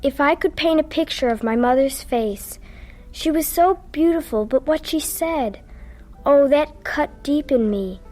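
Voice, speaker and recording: High-voiced, earnest little girl in radio drama. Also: measured pace, darkish tone, soft background music. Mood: wistful narration. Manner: earnest and questioning. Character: young daughter, sensitive, questioning, stubborn little girl.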